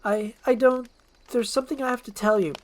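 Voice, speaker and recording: A woman's voice: fairly high pitch, fairly even intonation, a little fast, a little mellow, voice pushed up, lightly acted. A woman voicing an anguished young princess under a curse, earnest and pleading in a dramatic storytelling roleplay. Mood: worried, hurt.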